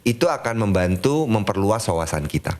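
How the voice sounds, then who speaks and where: A middle-aged man, his voice sitting low, chatting easily as a podcast guest. He explains his points through personal anecdotes, slipping in little laughs as he goes. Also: very animated, brisk, darkish tone. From Jakarta, Indonesia. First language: Betawi. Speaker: guest language activist. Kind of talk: casual podcast conversation.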